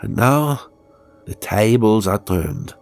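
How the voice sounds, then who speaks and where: A man voicing a cold, gloating stage ventriloquist villain in a horror story, with stern, measured and formal speech. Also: lowish voice, expressive, soft background music. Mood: quiet gloating.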